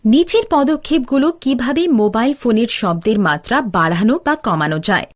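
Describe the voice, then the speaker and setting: A woman's voice: a little high, expressive, normal speed. A woman narrating a scripted tutorial as an instructor, explaining in a didactic, step-by-step way.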